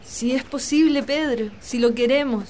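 A woman plays a determined, lovestruck young lady in a radio romance. Her voice is high and earnest. A faint noise sits behind it. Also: moderate pace, darkish tone, natural voice. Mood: hopeful, insistent. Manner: earnest, pleading. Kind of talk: romantic radio drama acting.

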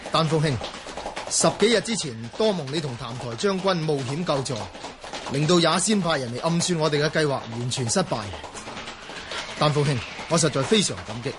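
Guy playing polite court envoy in a radio drama, slightly high voice, formal and grateful, noisy with birds behind. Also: expressive, moderate pace, natural voice. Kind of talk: Cantonese radio drama acting.

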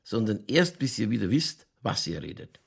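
A man playing a boozy, world-weary middle-aged police chief in a radio play, with a Lower Bavarian accent and a rambling, tipsy, cynically jovial delivery. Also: very animated, moderate pace, vocal fry, slightly rough, heavily acted. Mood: wry, rambling. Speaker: actor in a crime radio play. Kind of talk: naturalistic German radio drama dialogue.

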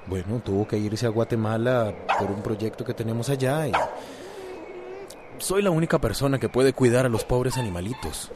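A man's voice: mid-range voice, normal speed, close to an everyday voice. A man with a Costa Rican accent playing a cheeky, coaxing, good-humoured friend in a radio drama. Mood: amused, explaining. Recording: noisy, dog sounds behind.

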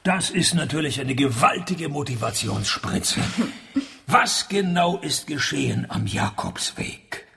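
An actor with a husky, gravelly voice and a Swiss accent plays a cheeky, sardonic, money-minded private detective in a comic radio play. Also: male voice, on the high side, lively intonation, a little slow, pushed and bright, exaggerated voice. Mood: cheeky, flirting. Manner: dry, sardonic. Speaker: lead character and narrator.

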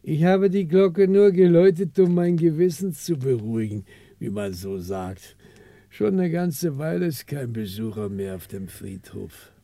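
An actor playing a chatty, uneasy cemetery keeper in a German radio crime drama, husky, creaky and unhurried. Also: male voice, on the high side, lively intonation, dark tone, no room sound. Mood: affable. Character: talkative male cemetery keeper, devoted to the dead, uneasy.

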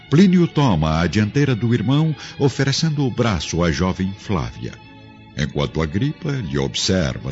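A man with a Brazilian accent narrating a radio drama from a script, solemn, literary and theatrical. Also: extremely low pitch. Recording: dull lo-fi radio production, music underneath.

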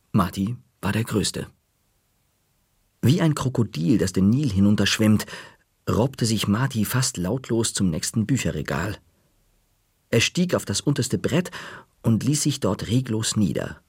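A man narrating an audiobook in a measured, even storytelling manner, recorded in a studio. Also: fairly low pitch, husky, dry recording.

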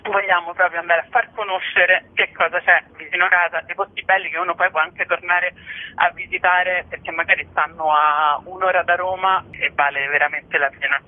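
A woman's voice: lowish voice, fairly even intonation, normal speed. A woman speaking as an interview guest and festival curator, presenting events in a conversational way. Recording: narrow, tinny phone line. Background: phone call to a radio show.

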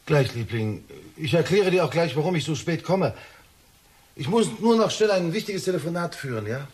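An actor playing a flustered, evasive middle-aged husband in a radio drama. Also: male voice, a little high, animated, moderate pace, darkish tone, breathy edge. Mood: subdued. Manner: apologetic, evasive.

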